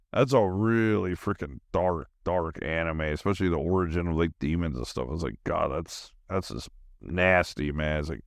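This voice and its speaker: A man's voice: sits low, creaky, no echo, clean studio sound. A middle-aged man hosting a podcast, chatting casually and enthusiastically in a scattered, slangy way as he thinks aloud. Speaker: podcast host. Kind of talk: casual rambling solo chat.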